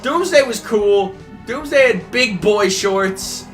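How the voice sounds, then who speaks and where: High, bright-voiced young man ranting over game music. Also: lively intonation, slow pace. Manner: rambling, profane, mocking impressions. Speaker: let's player. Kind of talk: casual gameplay commentary.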